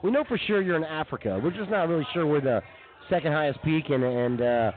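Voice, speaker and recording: Narrow, tinny audio carries a man co-hosting a comedy radio show. His voice sits a bit high and he rambles quickly in slangy, informal chat. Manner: laid-back slangy, often says dude and man. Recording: tinny narrow phone-like sound.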